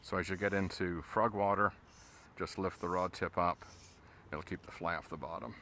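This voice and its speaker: A man's voice: low voice, normal speed, a little hiss or hum. A man out on a river, casually explaining fly casting step by step while he fishes from a boat. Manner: relaxed, explains steps as he casts. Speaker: fly fishing instructor. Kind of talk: casual instruction while fishing.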